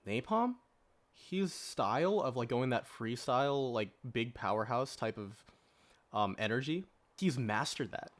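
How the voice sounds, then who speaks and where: A man's voice: medium pitch, animated, unhurried. A man co-hosting a podcast, giving his opinions emphatically in casual conversation. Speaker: podcast co-host. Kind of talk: casual chat.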